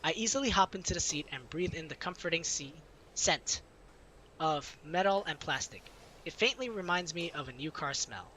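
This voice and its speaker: Bright-voiced man reading a game aloud. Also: a little high, expressive, moderate pace, a little hiss or hum, poor audio. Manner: first-person narration with light laughs. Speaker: let's-play reader. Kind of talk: reading a visual novel aloud.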